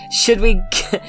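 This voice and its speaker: A woman with a New Zealand accent chatting and joking casually as a tabletop game player. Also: a bit bright. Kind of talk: casual chat, joking banter.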